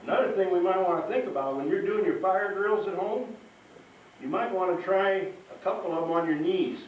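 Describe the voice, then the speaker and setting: A man's voice: on the high side, a little mellow. A man giving an informal, plain-spoken fire safety talk to children, with emphatic delivery. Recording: echoey hall, distant, faint noise.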